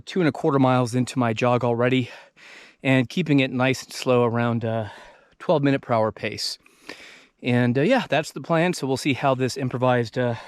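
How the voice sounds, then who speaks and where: An American man in his thirties talking casually to camera on a hike, halting and thinking aloud as he moves. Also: mid-range voice, no room sound, studio quality. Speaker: vlogger. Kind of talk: casual talk to camera while hiking. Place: outdoors in open desert backcountry. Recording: outdoor noise on handheld camera.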